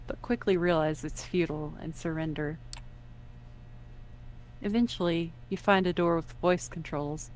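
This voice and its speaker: A woman's voice: fairly low pitch, low-quality recording. A woman reading scripted second-person story narration as the narrator. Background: music under the voice.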